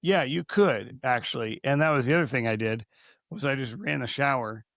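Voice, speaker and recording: Hoarse male co-host, gravelly and rambling, easygoing podcast talk. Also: mid-range voice, normal speed, fairly bright tone, dry recording, clean studio sound. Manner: rambling, with many restarts. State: hoarse, recovering from lost voice. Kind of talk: casual podcast chat.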